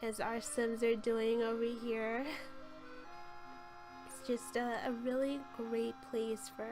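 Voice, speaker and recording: A woman with a cold and a hoarse voice, giving a chatty, gushing voice-over tour of a game build with frequent ums. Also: on the high side, low-quality recording. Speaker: game channel host. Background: light background music.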